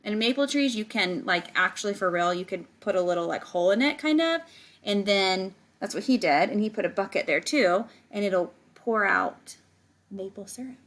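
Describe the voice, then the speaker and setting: A woman's voice: mid-range voice, moderate pace. Woman reading a picture book to children. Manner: animated storytelling, stretches words, chats directly to young listeners. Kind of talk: read-aloud to children with casual explaining asides.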